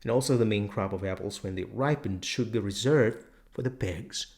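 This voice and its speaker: A man narrating a radio-drama audiobook in a measured, steady storytelling manner. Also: lowish voice. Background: music.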